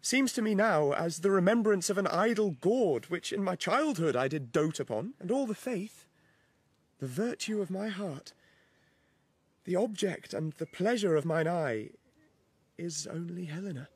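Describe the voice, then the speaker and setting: An actor playing an earnest, lovestruck young nobleman, declaiming measured Shakespearean verse in a radio drama. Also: high voice, lively intonation, breathy edge, no echo, heavily acted. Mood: earnest, ardent.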